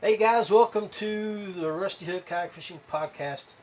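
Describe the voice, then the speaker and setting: A man hosting a podcast solo in casual talk, often pausing partway through his phrases. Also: sits high, darkish tone, faint music behind. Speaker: podcast host.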